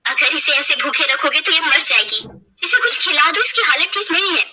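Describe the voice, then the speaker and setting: A woman's voice: sits high, lively intonation, moderate pace, noisy background, pitched above the performer's own voice. A woman voicing a conscientious, reproachful bandit's wife in a dramatic story. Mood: reproachful pleading.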